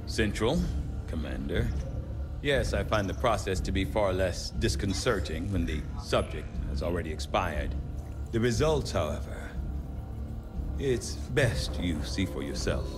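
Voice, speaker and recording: A man's voice: low-pitched, animated, a little slow. A man playing a clinical, formal doctor of science in a video game, speaking precisely over music. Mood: clinical, concerned. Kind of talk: video game dramatic dialogue. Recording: music behind the voice.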